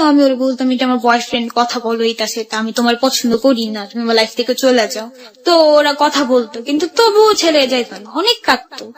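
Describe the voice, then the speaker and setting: A young Bangladeshi woman with a high voice tells her personal story as a radio guest. She talks quickly in colloquial, run-on sentences, one piece flowing into the next. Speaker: guest telling own life story.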